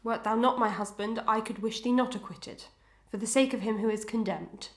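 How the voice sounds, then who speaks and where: Lady playing a sad noble wife in a victorian audio drama, higher voice, formal and full of pathos, roomy echo. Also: fairly even intonation, normal speed, lightly acted. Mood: wounded dignity. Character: sorrowful, dignified wife torn between love and duty. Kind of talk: Victorian melodrama audio acting. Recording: echoey, reverberant room.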